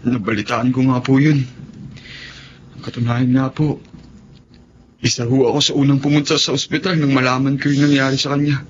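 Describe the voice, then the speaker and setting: A man's voice: medium pitch, little pitch movement, normal speed, pauses often, close to an everyday voice. An actor playing a loyal, respectful young man, earnest, polite and a little hesitant, in a radio drama. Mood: respectful, somber. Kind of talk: Tagalog radio drama acting. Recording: muffled radio drama with faint noise.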